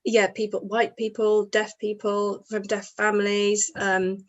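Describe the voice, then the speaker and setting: A woman giving voice to a deaf interviewee, speaking in reflective, measured phrases. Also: medium pitch, level tone, highs cut off.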